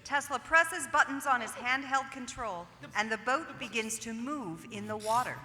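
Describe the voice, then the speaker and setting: A woman's voice: on the high side, animated, normal speed, sharp tone. A woman reading stage directions as dramatic, emphatic scripted narration in a theatre. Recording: echoey live theatre hall.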